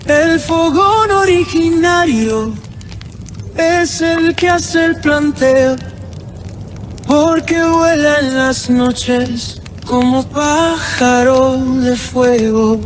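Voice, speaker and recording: A Uruguayan woman slowly reciting a solemn poem. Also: high-pitched, soft background music. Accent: Uruguayan Spanish. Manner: slow, solemn poetic recitation.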